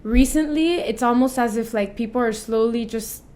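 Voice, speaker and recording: A woman's voice: a little high, moderate pace, darkish tone. Young woman, thoughtful and easygoing. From Venezuela. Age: 20s. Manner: thoughtful, restarts often, mixes in Spanish words. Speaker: singer-songwriter guest. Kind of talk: casual interview conversation.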